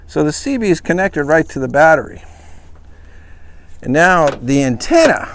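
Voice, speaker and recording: A man with a slightly higher voice talks slowly through a homemade truck walkthrough. His phrasing is plain and homespun, full of fillers and restarts, in a relaxed show-and-tell manner. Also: no room sound. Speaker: vlogger showing his truck camper. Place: in and around a pickup truck.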